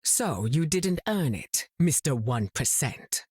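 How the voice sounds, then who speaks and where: Casting: cool, wry goddess for a game, measured delivery, dry close sound. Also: female voice, low-pitched, sing-song, a little mellow, breathy edge, no room sound, full character voice. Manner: measured, poised. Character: regal, self-assured mutant goddess, cool and wry. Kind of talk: video game character dialogue.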